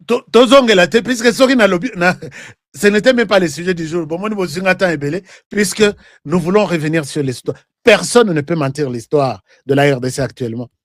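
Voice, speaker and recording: High-voiced Congolese man, argumentative political commentator. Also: no echo, studio quality. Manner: animated, argumentative, rhetorical questions and repetition. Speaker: online political show host. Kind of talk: live political commentary to viewers, mixing French and Lingala.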